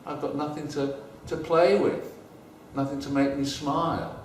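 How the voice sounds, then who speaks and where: A man voicing a lonely, bored little girl in a children's story, speaking in a glum, flat way. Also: fairly high pitch, a little slow, a little hiss or hum, lightly acted. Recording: echoey room, distant mic.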